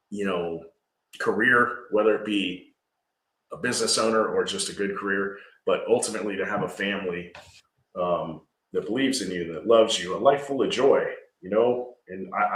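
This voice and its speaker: Business-owner guest, early 40s; bright forceful tone, lowish pitch, echoey room sound. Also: male voice. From Georgia. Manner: earnest, rambling, frequent "right?". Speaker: landscaping company owner, guest. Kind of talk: casual podcast conversation.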